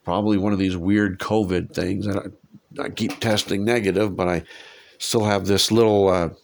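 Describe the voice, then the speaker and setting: A man's voice: deep voice, fairly even intonation, moderate pace, gravelly, a little hiss or hum. A man with a head cold and lingering cough, teaching a Bible passage in a conversational way and asking his listeners rhetorical questions. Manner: warm, musing, asks listeners rhetorical questions.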